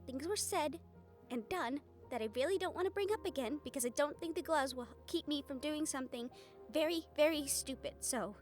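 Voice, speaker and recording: A woman playing a guarded, protective young fiancée in an intimate audio roleplay drama, speaking earnestly and emphatically with deliberate pauses. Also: sits high, soft background music, natural voice. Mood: guarded, weary.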